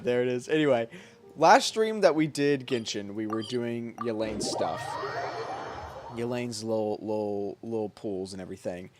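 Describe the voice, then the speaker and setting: Man, game livestreamer, casual chat, rambling slangy delivery, mid-range pitch, background music. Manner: rambling, informal slangy talk.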